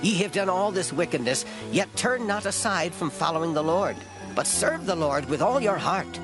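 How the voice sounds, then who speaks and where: Old prophet, sweeping, solemn oratory. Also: male voice, high-pitched, very animated, moderate pace, over music. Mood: solemn, reassuring. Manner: declamatory, grave. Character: old gray-headed prophet, solemn, stern and commanding. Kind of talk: dramatized scripture reading, oratory.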